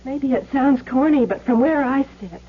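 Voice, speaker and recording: An actress playing a devoted, emotional gambler's sweetheart in an old-time radio drama, earnest and emphatic. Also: female voice, sits high, normal speed, breathy edge. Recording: tinny, narrow-band 1970s radio recording.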